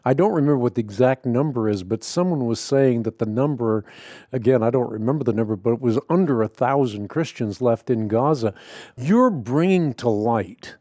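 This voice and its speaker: A male radio host from the Netherlands, a native Dutch speaker, interviews a guest earnestly and with measured emphasis. His voice is mellow and warm, and the recording is dry and close. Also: medium pitch, no echo.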